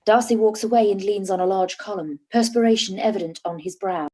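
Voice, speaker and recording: A woman reads the stage directions in a plain, measured way. Her voice is warm and dark, with a husky edge. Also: medium pitch. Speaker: narrator.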